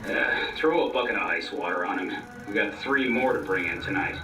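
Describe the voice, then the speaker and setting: A man's voice: on the low side, brisk, noisy background, close to an everyday voice. A man playing a cruel, threatening interrogator thug in a video game, sneering and bullying. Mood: menacing. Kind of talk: video game villain acting. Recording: echoey, distant, music behind.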